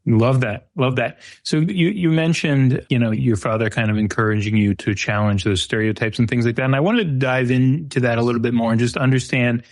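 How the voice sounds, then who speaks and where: A man's voice: mid-range voice, fairly quick, hardly any pauses, no room sound, clean studio sound. A man hosting a podcast, asking questions in a friendly way with many fillers. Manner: friendly, uses many "you know" fillers. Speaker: podcast host. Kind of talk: scripted intro, then casual interviewing.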